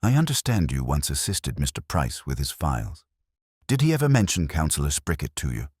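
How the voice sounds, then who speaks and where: Deep-voiced guy reading as a gentle, courteous detective inspector, quietly probing, very low voice that still moves around a lot, dry close sound. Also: wildly swooping pitch, fairly quick, breathy edge, no echo, heavily acted. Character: calm, courteous middle-aged male police inspector.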